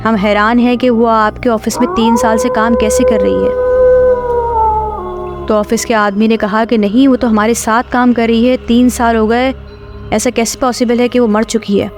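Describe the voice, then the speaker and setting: A woman's voice: fairly high pitch, fairly even intonation, moderate pace. A woman reading a scripted horror story as its narrator. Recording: clear music bed under voice.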